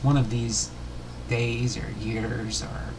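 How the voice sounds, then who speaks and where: A man hosting a livestream, talking casually and reading aloud in a halting way with uneven phrasing. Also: mid-range voice, little pitch movement, noisy background. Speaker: host of a baseball biography livestream.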